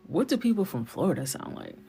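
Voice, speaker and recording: A low-pitched young woman from New York talks casually and playfully as she colors at her desk, now and then dropping to a whisper. Faint music plays behind her voice. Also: big pitch swings, fast talker, gravelly, mellow. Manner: playful, chatty, drifts into whispers and laughs. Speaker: illustrator coloring on a livestream. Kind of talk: casual chat while coloring.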